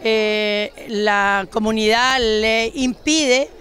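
Older woman, hesitant and bright-voiced. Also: on the high side, steady tone. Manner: halting, hesitant. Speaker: grandmother of a graduate. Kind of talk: street interview. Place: outdoors on a city street. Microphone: handheld reporter mic.

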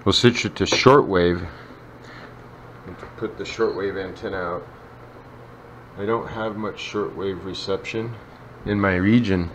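A man's voice: sits low, steady tone, measured pace, rough recording. A middle-aged man giving a casual hands-on demonstration indoors, talking as he works. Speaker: vintage radio hobbyist demonstrating a repair. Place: indoors in a home kitchen. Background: radio playing and static.

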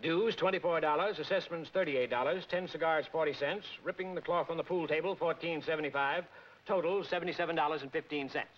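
An actor playing a clipped, officious, middle-aged club treasurer in a 1940s film comedy. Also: male voice, on the high side, fairly quick, natural voice. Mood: prim. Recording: dull, noisy 1940s film soundtrack.

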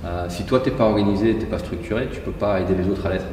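A man's voice: deep voice, fast talker, a little mellow, slight background noise. A man in his forties, a guest in a casual interview, explaining his routine methodically step by step. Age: 40s. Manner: methodical, talks it through step by step. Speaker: guest, gym entrepreneur. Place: large indoor gym hall. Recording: echoey gym hall from far off.

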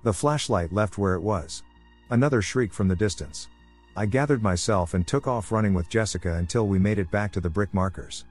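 A man with a fairly low voice reads scary first-person stories in a measured, deliberate way. He pauses for effect between short lines. Faint music plays under his voice. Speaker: narrator. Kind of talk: scripted horror story narration.

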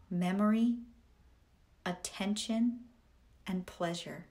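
Very slow-paced woman guiding meditation. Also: lowish voice, a little mellow. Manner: deliberate, measured delivery in short phrases with pauses. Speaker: guided meditation narrator. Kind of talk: scripted guided meditation affirmations.

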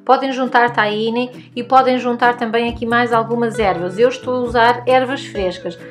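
A woman's voice: on the high side, fairly even intonation, normal speed, faint music behind. A woman in her thirties with a European Portuguese accent, hosting a cooking tutorial and talking directly to her viewers in a friendly, instructive way. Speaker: cooking channel host. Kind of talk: recipe tutorial voice-over. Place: home room with bookshelves.

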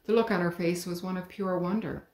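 A middle-aged woman, an author, reading her children's book aloud at home in a calm, clear storytelling manner. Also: lowish voice, a little fast. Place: living room at home. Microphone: webcam, head and shoulders. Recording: roomy living room.

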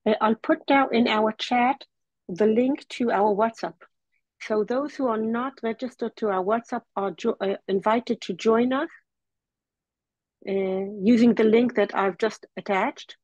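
A woman's voice: a little high, unhurried, darkish tone, no room sound. Woman on a dull video-call line. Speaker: meeting co-host. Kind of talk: announcements in an online meeting.

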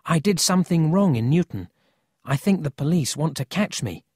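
A narrator voicing an earnest, anxious young country boy in a children's storybook, quick to stand up for himself. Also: mid-range voice, animated, darkish tone, slightly rough, no room sound, studio quality, pitched above the performer's own voice. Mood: worried, hopeful.

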